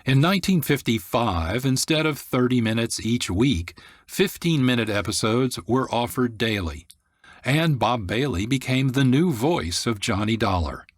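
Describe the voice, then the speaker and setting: Male host, scripted radio narration, medium pitch, dry studio sound. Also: expressive, measured pace, dry recording. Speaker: host and producer.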